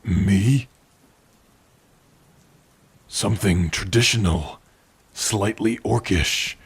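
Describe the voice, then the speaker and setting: Orc blacksmith played by a man for ASMR roleplay: tender and proud, lowish pitch, very breathy rasp, intimate delivery. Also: exaggerated voice. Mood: fond, reminiscing. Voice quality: husky, breathy. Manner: slow, tender, measured delivery with long pauses. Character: gentle, proud male orc warrior-blacksmith, loving partner. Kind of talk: ASMR roleplay, intimate second-person acting.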